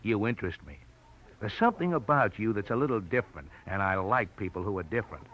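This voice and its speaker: An actor playing a cocky, flirtatious, shrewd reporter with an American accent and a slangy, sardonic way of talking, in a 1940s radio drama. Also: male voice, fairly low pitch, animated, fast talker. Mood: flirtatious, insinuating. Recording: muffled old radio broadcast recording.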